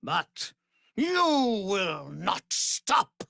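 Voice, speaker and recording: Cruel vampire master for a video game: imperious, wildly swooping pitch, very slow and savoring. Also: male voice, big pitch swings, creaky, bright, forceful tone, no echo, clean studio sound, full character voice. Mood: sneering menace. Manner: pompous, imperious, sneering. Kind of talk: theatrical video game villain acting.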